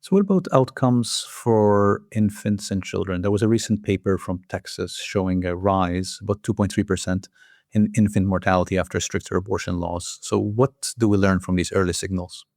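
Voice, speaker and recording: A man's voice: low voice, normal speed, darkish tone, no room sound, studio quality. A man hosting a formal research interview, putting structured questions to a guest. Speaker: interviewer, journal editor host.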